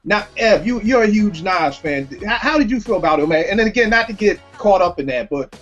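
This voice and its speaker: A man in his forties hosting a casual discussion, putting questions to his panel in a lively way. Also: high-pitched, a little fast. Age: 40s. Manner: animated, quizzes the panel. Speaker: podcast host. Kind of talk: casual conversation. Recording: lo-fi, faint music behind.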